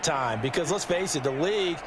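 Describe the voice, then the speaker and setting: A man's voice: on the high side, lively intonation, a little fast, crowd noise, noisy background, natural voice. A man playing an American football color analyst in a video game, conversational and emphatic in his broadcast commentary. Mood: chatty, emphatic. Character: male football color analyst, chatty and opinionated. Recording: game audio with loud music.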